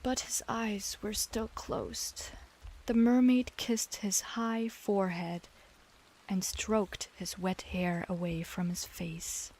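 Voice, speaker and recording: A woman streamer reads a bedtime tale slowly and unhurriedly, her accent leaning toward British English. Her delivery is soft and often drops to a gentle half-whisper. Her voice sits at an ordinary middle pitch. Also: slight background noise, rough recording. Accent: British-leaning English learned as a second language. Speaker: streamer reading stories aloud. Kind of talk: slow bedtime storybook reading.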